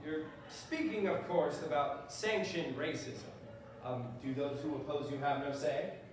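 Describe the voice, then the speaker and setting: A man on stage playing a principled defense attorney with a measured, rhetorical courtroom delivery. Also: on the high side, lightly acted. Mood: probing. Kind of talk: live stage readers theater. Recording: distant mic, echoey room, faint noise.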